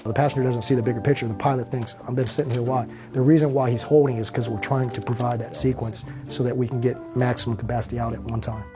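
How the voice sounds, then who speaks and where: A man working as an air traffic controller, explaining and giving instructions in a brisk, practical manner. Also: mid-range voice, level tone. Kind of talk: interview explanation and radio instructions. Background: background music.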